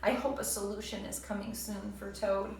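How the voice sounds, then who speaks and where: A woman's voice: mid-range voice, little pitch movement, normal speed, vocal fry. A woman who sounds like a teacher, narrating a storybook and speaking directly to young listeners. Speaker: teacher reading aloud to children. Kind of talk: storybook narration and comprehension prompts. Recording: roomy, distant, faint background noise.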